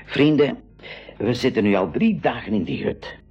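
An actor playing an avuncular expedition leader in a radio play, taking charge with an exclamatory, courteous manner. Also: male voice, mid-range voice, big pitch swings, moderate pace, a little mellow, breathy edge, dull audio, faint music behind. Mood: decisive. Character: hearty uncle leading a jungle expedition, decisive, courteous. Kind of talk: 1980s school radio play acting.

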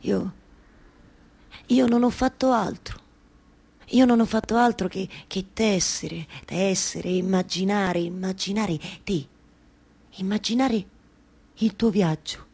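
A woman acts a long-waiting wife from a radio play, with a husky voice and a Roman accent. She is weary, exasperated and tender at once. Her theatrical monologue circles back on words and phrases, halting and starting again. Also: medium pitch, darkish tone, full character voice. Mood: hushed, regretful.

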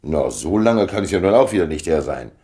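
A middle-aged statesman in a radio play, dutiful and a little vain. His voice sits very low but moves expressively. He speaks with dry, clipped urbanity and a weary edge. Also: animated, fairly quick, mellow. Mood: guarded, dry. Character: weary, dutiful, self-important 56-year-old official in foreign affairs. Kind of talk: German radio play acting.

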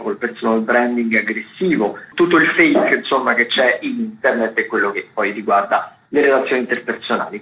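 A man chats through theatre listings on the radio in a roomy, slightly muffled sound. His pitch is average for a man, and he wanders off, hesitates and corrects himself in a casual way. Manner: chatty, digressive, hesitant with self-corrections. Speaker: theatre critic and guest contributor.

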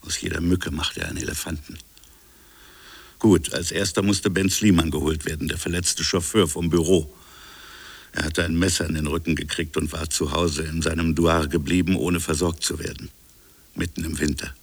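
A man playing a reflective French colonial official in a literary radio drama, recalling a buried affair in musing, fragmentary phrases with a gravelly, husky voice. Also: very deep voice, fairly even intonation, normal speed, no echo, heavily acted. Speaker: narrator and protagonist.

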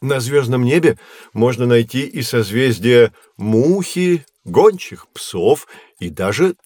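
A kind patient professor teaching kids in an audio play, careful explainer, close dry sound. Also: male voice, mid-range voice, lively intonation, takes it slowly, a little mellow, dry recording. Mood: explanatory. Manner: didactic, carefully phrased, encouraging. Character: kindly patient male professor explaining science to children. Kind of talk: scripted educational audio drama, explanatory.

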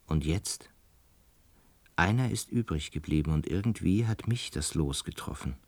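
An actor in a German radio play voicing a calm, introspective lodger in a musing, murmuring manner. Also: male voice, a bass voice, moderate pace, dry recording, full character voice. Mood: brooding. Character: brooding male lodger, former medical student, calm, introspective.

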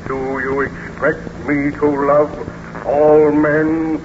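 An actor playing an embittered, wretched monster in a 1930s radio serial, melodramatic and formal, pleading and menacing by turns. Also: male voice, fairly high pitch, little pitch movement, extremely slow. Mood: anguished, bitter. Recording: noisy, muffled 1930s radio recording.

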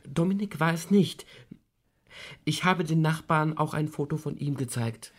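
Worried guy under suspicion, husky, confiding and pushy, radio play style. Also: fairly high pitch, a little slow. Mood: insistent. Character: earnest, troubled man under suspicion, insistent. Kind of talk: radio drama acting.

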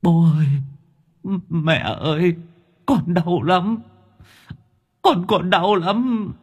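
A man's voice: high-pitched, extremely slow, breathy edge, pitched above the performer's own voice, exaggerated voice. A man voicing a tearful teenage ghost boy who whines and pleads. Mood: tearful pleading.

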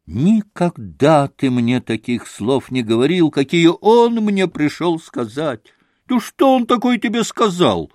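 A man's voice: mid-range voice, big pitch swings, slow, long pauses, darkish tone, no echo, studio quality, pitched above the performer's own voice. A man reading an audiobook, voicing a hysterical young woman who swings between fury and tears. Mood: wounded.

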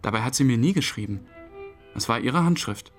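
A bereaved boyfriend played by a male actor, numb and bewildered with a wry edge. He speaks in an average-pitched voice, as if interviewed in a radio play. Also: lively intonation, fairly quick, soft background music, lightly acted. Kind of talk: interview-like radio drama narration.

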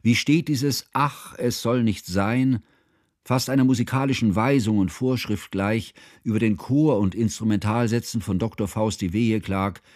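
An actor playing a grieving, learned older man who narrates a literary radio drama in solemn, measured, ornate phrases about his friend. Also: mid-range voice, a little mellow, dry recording, studio quality, lightly acted. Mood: mournful.

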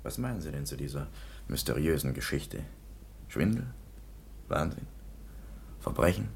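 An actor playing a calm, observant male police clerk in a radio play. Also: rumbling low, moderate pace, creaky, slight background noise, heavily acted.

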